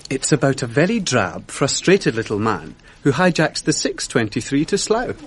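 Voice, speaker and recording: A man playing a bright, polite, eager young graduate in a radio drama. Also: medium pitch, sing-song, normal speed, slightly rough. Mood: cheerful, eager. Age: young adult. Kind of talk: 1980s British radio drama acting.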